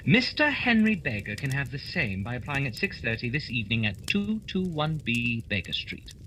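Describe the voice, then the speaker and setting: A man playing a coolly superior gentleman detective in a radio play, precise, theatrical and wry. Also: mid-range voice, very animated, moderate pace, a little hiss or hum. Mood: brisk. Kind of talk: holiday radio play acting.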